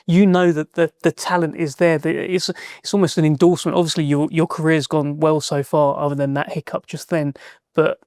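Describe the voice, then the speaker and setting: A man's voice: on the high side, little pitch movement, fairly quick, darkish tone, no echo, studio quality. A man interviewing a boxer, asking friendly, prompting questions. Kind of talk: sports interview.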